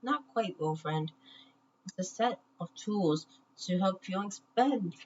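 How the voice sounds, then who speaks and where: A woman voicing a kind, caring talking brain who gently encourages, in a children's storybook, on a muffled, noisy recording. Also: mid-range voice, lively intonation, takes it slowly. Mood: helpful, gentle.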